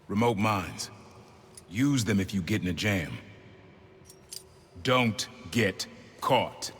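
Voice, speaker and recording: An actor playing a gruff, protective middle-aged uncle with a terse, streetwise way of talking, in a dramatic video game scene. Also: male voice, lowish voice, expressive, slow pace, fairly bright tone, full character voice. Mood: serious, guarded. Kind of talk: dramatic game cutscene acting. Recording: reverberant space, faint noise.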